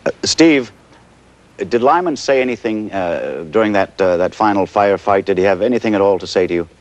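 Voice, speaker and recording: A male actor as a composed network anchor with a low voice handles breaking news live. He is measured, pausing and searching for words. The old broadcast sound carries faint noise. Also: animated, lo-fi sound, natural voice. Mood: urgent, probing. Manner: measured, hesitant live delivery with halting pauses. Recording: noisy 1980s television broadcast audio.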